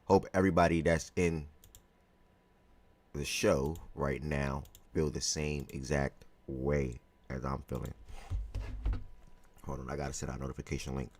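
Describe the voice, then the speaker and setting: A man hosting a live sports talk stream, chatty and relaxed, greeting viewers by name, his voice a little hoarse. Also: rumbling low, measured pace, long pauses. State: slightly hoarse from yelling the day before. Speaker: live show host.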